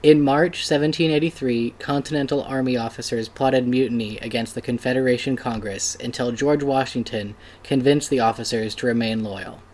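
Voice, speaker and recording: A man reading an essay aloud at a table reading with plain, even narration. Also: mid-range voice, normal speed, few pauses. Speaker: reader at a church table reading.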